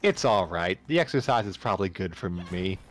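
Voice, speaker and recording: A man's voice: deep voice, expressive, a little fast, voice pushed down. A man voicing a friendly, easygoing young female college student in casual story dialogue. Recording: music playing behind the voice.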